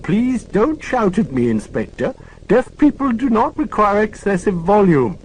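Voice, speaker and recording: A woman's voice: mid-range voice, very animated, measured pace, darkish tone, slight background noise, pitched above the performer's own voice, rough recording. A man reading an audiobook, voicing a deaf former head nurse in her eighties who talks in a precise, lecturing way. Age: 80s.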